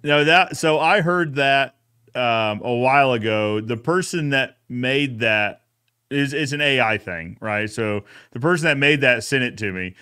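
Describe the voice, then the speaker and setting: A man's voice: medium pitch, unhurried, pushed and bright, clear, resonant tone. A man hosting a podcast recap in a casual, folksy, conversational way. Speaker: host of a podcast recap show.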